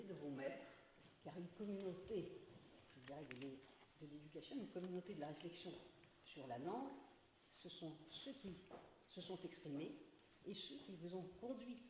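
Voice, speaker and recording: A woman giving a formal welcome address as the academy's secretary, slow and halting as she weighs her words. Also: on the low side. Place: large ceremonial hall. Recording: echoey hall with faint noise.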